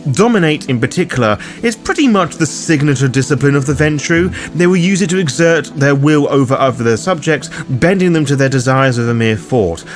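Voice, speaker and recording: A man presenting a scripted roleplaying guide in a theatrical, formal manner with cheeky asides. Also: on the high side. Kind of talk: scripted narration, dramatic explainer. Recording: music under the voice. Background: background music.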